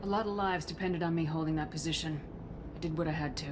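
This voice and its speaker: An actress portrays a resolute military commander in a video game. Her low female voice is firm and no-nonsense, that of a principled leader. Music is clearly heard behind it. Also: steady tone, fast, lightly acted. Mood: resolute, sober. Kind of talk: video game cutscene acting.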